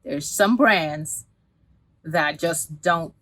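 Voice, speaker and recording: A woman's voice: sits low, extremely slow, fairly bright tone. A woman, talking casually to camera as a beauty vlogger, rambling with many restarts and fillers. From Guyana.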